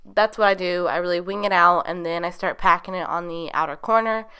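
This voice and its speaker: A woman's voice: on the low side, fairly even intonation, brisk, sharp tone, clean tone. A young woman narrating a makeup tutorial in a chatty, rambling way, her voice a little nasal as she gets over a cold. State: getting over being sick. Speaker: beauty vlogger.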